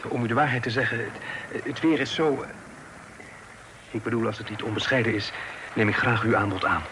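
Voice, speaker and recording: Through clear background noise on an old radio play recording, a man plays a young gentleman of good manners. He speaks in a medium-pitched voice, hesitant and easily flustered, always courteous. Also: steady tone, a little fast, close to an everyday voice. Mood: hesitant, polite. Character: polite, flustered young gentleman caught in a storm. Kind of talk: Dutch radio play acting.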